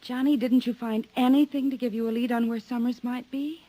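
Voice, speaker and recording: Actress as an anxious young woman, high, uneasy, vintage radio drama. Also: fairly even intonation, brisk, dark tone, dry recording, close to an everyday voice. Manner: anxious, calling out. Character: nervous young woman stranded abroad. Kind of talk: 1950s radio drama acting.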